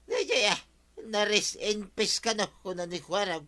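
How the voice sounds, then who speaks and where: Stubborn, indignant village drunkard, piercingly bright and forceful, fairly high, radio comedy. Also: male voice, expressive, normal speed. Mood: grumpy. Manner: indignant, argumentative. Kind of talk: comedic radio drama acting.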